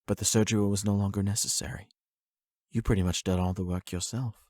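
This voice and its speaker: A man plays a composed trauma-center doctor in an audio roleplay. His voice sits fairly low, with polite, measured explanations and a patient clinical tone. The sound is dry and free of room echo. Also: fairly even intonation, darkish tone, lightly acted. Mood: clinical, explanatory.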